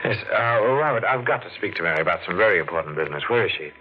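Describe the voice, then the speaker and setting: A brisk, probing lawyer. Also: male voice, deep voice, animated. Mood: insistent. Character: brisk businesslike lawyer on an estate matter. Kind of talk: 1940s radio acting. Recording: muffled 1940s radio broadcast with faint hiss.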